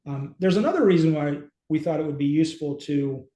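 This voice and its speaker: A middle-aged man hosting a casual screen-share walkthrough, speaking haltingly as he thinks aloud. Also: fairly high pitch, mellow, a little hiss or hum. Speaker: host and app company team member. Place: small indoor room, video call. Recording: roomy, echoey home room.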